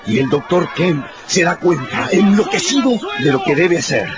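A man's voice: on the high side, sing-song, normal speed, a crowd in the background. A man giving theatrical, emphatic scripted narration for a radio drama. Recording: muffled, under prominent music. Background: dramatic music.

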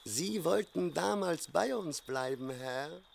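Gravelly old coachman. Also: on the high side, lively intonation, slow, full character voice. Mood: reminiscing. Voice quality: gravelly, husky. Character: old deferential coachman with a long memory. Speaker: actor. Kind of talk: German radio drama acting.

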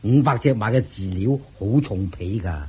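A man's voice: fairly low pitch, normal speed, darkish tone, slightly rough. A man with a Hong Kong Cantonese accent playing a thrifty, sly royal chancellor in a radio drama, coaxing and explaining in a placating way. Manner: chuckling, coaxing, explaining patiently.

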